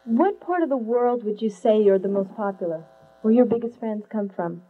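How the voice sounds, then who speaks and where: A woman interviewing a rock band, eager and quick to laugh. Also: on the high side. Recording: tinny phone-like lo-fi audio with hum.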